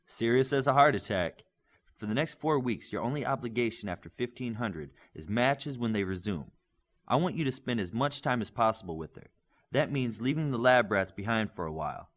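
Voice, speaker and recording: Man voicing a brisk, businesslike team coach. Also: medium pitch, expressive, natural voice.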